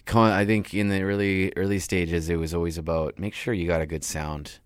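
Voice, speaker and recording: A man with a deep voice, a rapper talking loosely in an interview with many restarts. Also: level tone, normal speed, clear, resonant tone, no echo, studio quality. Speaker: rapper guest. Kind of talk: casual interview talk.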